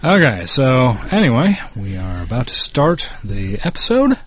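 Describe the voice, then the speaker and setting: A man's voice: mid-range voice, sing-song, measured pace. A man co-hosting a casual podcast, bantering sarcastically and swearing freely. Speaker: podcast co-host.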